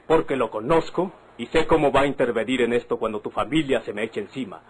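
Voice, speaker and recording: A man's voice: medium pitch, fast talker, slightly rough, close to an everyday voice. An actor playing a proud, wounded young Mexican man, solemn and declamatory, in an old radio drama. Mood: defiant.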